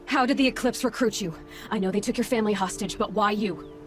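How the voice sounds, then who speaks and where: Game heroine: stern young female hunter female actor, resolute, clipped delivery, soft music underneath. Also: medium pitch, fast, natural voice. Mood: demanding. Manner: terse, firm, questioning. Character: stern young female huntress, determined interrogator, ultimately merciful. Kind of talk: dramatic video game acting. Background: faint background music.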